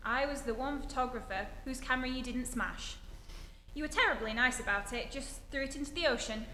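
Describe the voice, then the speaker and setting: An actress playing a practical, wisecracking magazine photographer with wry quips in a stage re-enactment of an old radio comedy. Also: female voice, high voice, a little fast, bright, forceful tone. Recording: echoey hall, faint noise.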